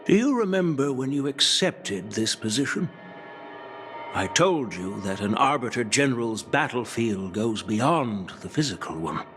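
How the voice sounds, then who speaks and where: An actor playing an elderly general, a shrewd and wry grandfather with a measured, weighty, teasing manner, in a video game. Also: medium pitch, sing-song, fairly bright tone, faint music behind. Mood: grave, measured. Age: older.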